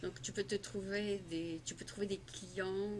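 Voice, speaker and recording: A woman's voice: mid-range voice, fairly even intonation, measured pace. Prompting woman interviewer. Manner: prompting, finishes the guest's sentences. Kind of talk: casual conversational interview. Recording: noisy background.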